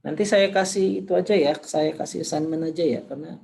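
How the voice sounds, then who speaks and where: Hoarse male lecturer with a gravelly voice. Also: a little high, fairly quick, darkish tone. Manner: informal, thinking aloud with fillers. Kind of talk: classroom lecture with screen demonstration.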